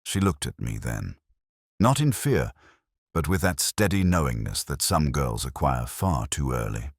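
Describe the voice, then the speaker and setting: Male actor as brooding middle-aged detective narrator: extremely low voice, expressive swings in pitch, literary and measured. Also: breathy edge, no echo, clean studio sound, heavily acted. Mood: brooding, reflective. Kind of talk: scripted first-person mystery narration.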